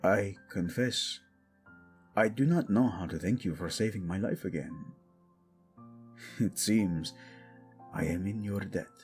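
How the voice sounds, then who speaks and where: A man playing a proud, passionate young king devoted to his wife in a romantic audio drama, speaking earnestly and deliberately. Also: on the low side, darkish tone, faint music behind. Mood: tender, hurt.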